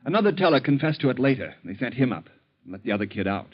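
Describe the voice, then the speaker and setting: An actor playing a reflective, quietly needling bank teller with an American accent in a vintage radio drama. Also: male voice, mid-range voice, very animated, fast talker, slightly rough. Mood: telling a story. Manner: reflective, storytelling, pointed. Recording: dull lo-fi old radio recording.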